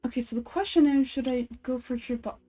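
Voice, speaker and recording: A woman's voice: high voice, quick pace, warm tone, soft background music. A woman game streamer chatting casually and playfully while she plays, self-deprecating in manner. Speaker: game streamer and amateur voice actor. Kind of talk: casual gameplay chat.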